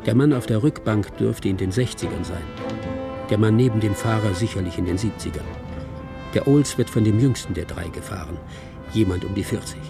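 Low, creaky actor as screenplay narrator. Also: male voice, brisk, lightly acted. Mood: detached, suspenseful. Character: detached male narrator reading out a film screenplay. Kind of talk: scripted radio drama narration. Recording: music under the voice.

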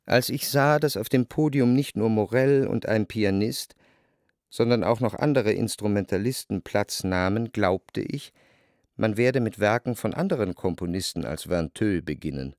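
An actor playing a reflective, refined writer narrating his memories in a measured, literary radio drama manner. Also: lowish voice, a little mellow, dry recording, clean studio sound, close to an everyday voice. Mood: contemplative. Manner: measured, contemplative. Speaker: first-person narrator. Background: music behind the voice.

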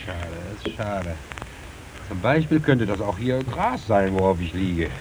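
Theatrical mine worker in a noisy old radio play. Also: male voice, lowish voice, sing-song, unhurried. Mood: dreamy. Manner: musing, theatrical, swinging from soft wonder to outcry. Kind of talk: old German radio drama acting.

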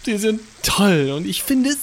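Gushing, husky-voiced cannibal fanboy. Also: male voice, high voice, sing-song, normal speed. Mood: starstruck, shy. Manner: gushing, effusive, stammering with awe. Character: eager, fawning, polite male cannibal who is an office worker. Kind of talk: comic audio drama acting.

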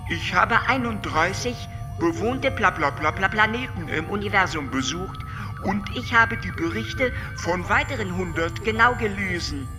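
A woman playing a calm, all-knowing alien in a radio drama, measured and lecturing, sometimes sticking on syllables. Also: medium pitch, natural voice. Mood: detached, explanatory. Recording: long reverb, music behind.

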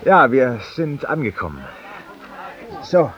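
A man's voice: medium pitch, sing-song, takes it slowly, some chatter behind, a little hiss or hum. An actor playing a world-weary, eloquent, guilt-ridden man who confesses intimately to an unseen companion in a 1950s radio drama monologue. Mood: restless, urgent. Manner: intimate, rhetorical, addressing an unseen companion, sometimes dropping to a whisper. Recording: muffled, distant 1950s radio recording.

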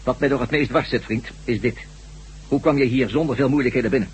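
An actor playing a menacing, condescending interrogator in a Dutch radio drama, speaking with sardonic deliberation. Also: male voice, medium pitch, fairly even intonation, fast talker, natural voice. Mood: sardonic questioning. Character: menacing, condescending male interrogator, secret-project villain. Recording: noisy radio recording with hum.

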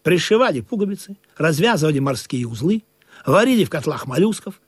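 A man playing a droll sailor who narrates a comic sea tale in an expressive, theatrical, husky voice. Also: a little high, fairly quick, no room sound, clean studio sound. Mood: droll storytelling. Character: droll male sailor narrator, excitable and fawning among crew.

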